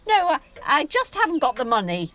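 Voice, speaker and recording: A woman's voice: high-pitched, very animated, a little fast, fairly bright tone. An actress playing a vain, fussing middle-aged mother in an audio drama, theatrical and dismissive. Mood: defensive. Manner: theatrical, imperious.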